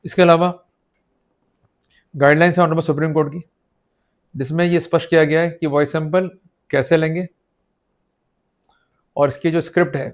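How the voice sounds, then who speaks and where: A man with an Indian accent teaching law in an explanatory, didactic lecture style. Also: a little high. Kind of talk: explanatory legal lecture mixing Hindi and English.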